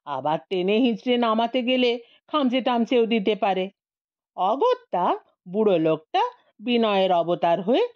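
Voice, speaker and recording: A woman's voice: mid-range voice, muffled recording. A woman narrating a comic audio story in a playful, theatrical manner.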